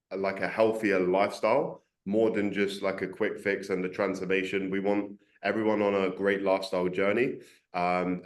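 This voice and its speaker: A man hosting a casual interview, upbeat and loose, with lots of fillers. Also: sits low. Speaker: coaching program host interviewer. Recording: roomy echo.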